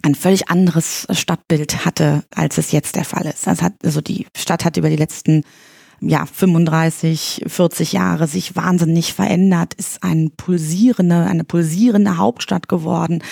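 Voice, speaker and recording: A woman in her forties from Berlin speaks as a guest on an interview podcast, her voice husky and gravelly with a dark, mellow tone. She talks in a measured way, pausing and searching for words. The sound is dry and close. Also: lowish voice, fairly even intonation, no echo. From East Berlin, Germany. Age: 40s. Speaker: candidate for pharmacists' chamber, guest.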